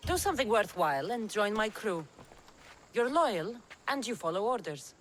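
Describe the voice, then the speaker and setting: An actress playing a bold, determined mercenary in a video game cutscene, with a firm, direct manner. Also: female voice, medium pitch, very animated, normal speed, fairly bright tone, slight background noise. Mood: stern, commanding. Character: bold, commanding, determined female mercenary adventurer.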